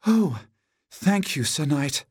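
A man puts on a young storybook damsel, polite and grateful. The voice is pitched up and lilting, with formal, courteous phrasing. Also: very animated, takes it slowly, a little mellow, husky, dry recording, exaggerated voice. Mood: grateful, breathless relief.

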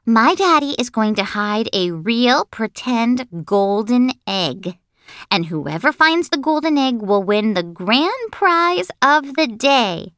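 Woman acting a spoiled little rich girl, high and swooping, gushing, boastful, drawn-out words, children's storybook. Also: sing-song, fairly bright tone, clear, resonant tone, no room sound, studio quality, exaggerated voice. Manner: showy, drawn-out, gushing delivery. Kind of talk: children's audiobook character voice.

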